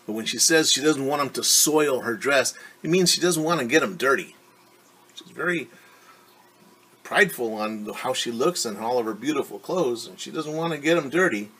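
A man in his forties reading a storybook aloud as a teacher, pausing to explain words and ask questions. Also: mid-range voice, animated, fairly bright tone, slightly rough, rough recording. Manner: explains words slowly, asks rhetorical questions. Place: small room at home.

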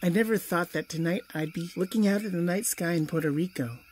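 A man's voice: high-pitched, brisk, a little hiss or hum, lightly acted. A man playing a wistful, grateful man from the Philippines in an audio drama.

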